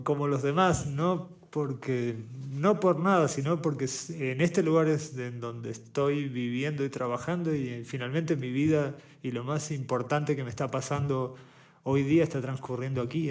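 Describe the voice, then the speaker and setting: A man from Uruguay with a Rioplatense Buenos Aires accent, a visual artist talking as a guest in an interview, thoughtful and halting, often restarting his phrases. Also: mid-range voice, steady tone. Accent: Rioplatense Spanish, Buenos Aires. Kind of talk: relaxed interview conversation.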